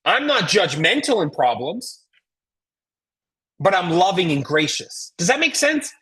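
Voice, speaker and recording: A woman's voice: lowish voice, big pitch swings, a little slow, fairly bright tone, slightly rough. A woman with an American accent giving a motivational sales coaching talk as a trainer, emphatic and folksy, with rhetorical questions. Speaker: network marketing trainer.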